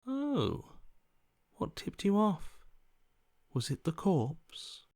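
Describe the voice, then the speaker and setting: A man's voice: sits high, very animated, very slow, darkish tone, no echo, heavily acted. A man voicing a cold, detached, bored inner voice in a video game, speaking in a dry, blunt and dismissive way. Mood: sarcastic.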